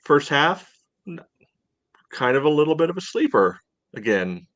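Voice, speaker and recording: A man co-hosting a podcast, talking through sports analysis casually in a measured, hedging way full of "you know". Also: a little high, sing-song, a bit bright, no room sound. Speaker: podcast co-host.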